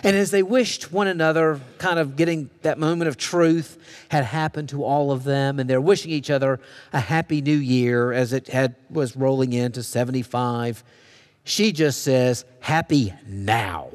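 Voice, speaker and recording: A man's voice: medium pitch, normal speed. An American man preaching a sermon as a pastor, telling stories conversationally and acting out bits of dialogue. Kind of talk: sermon with storytelling. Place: church sanctuary. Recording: long reverberation of a large hall.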